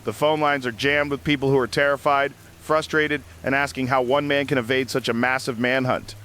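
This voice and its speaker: A man playing a somber, shaken late-night radio call-in host, speaking gravely and earnestly. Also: medium pitch, steady tone, a little fast, a bit bright, slight background noise, close to an everyday voice. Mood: grave, uneasy.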